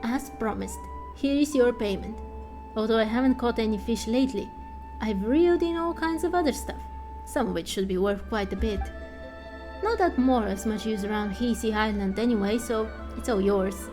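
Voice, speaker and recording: A woman's voice: on the high side, moderate pace, music in the background, lightly acted, poor audio. A woman acting a grumbling fisher villager in a game, explaining things in a matter-of-fact way. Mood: businesslike.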